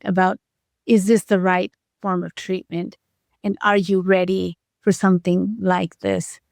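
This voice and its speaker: A woman co-hosting an interview, speaking deliberately and building long, reflective questions phrase by phrase. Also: mid-range voice, darkish tone, no room sound, clean studio sound. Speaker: podcast co-host, clinician.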